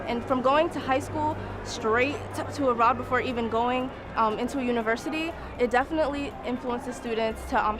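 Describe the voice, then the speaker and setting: A young woman speaks earnestly and emphatically in a high voice. Music plays clearly behind her. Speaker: student in a study abroad program. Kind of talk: earnest testimonial.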